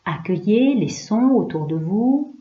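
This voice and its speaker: A woman yoga teacher leading a guided relaxation in a hushed, soothing, unhurried way, leaving pauses between short phrases. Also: mid-range voice, expressive. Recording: roomy, slightly dull recording.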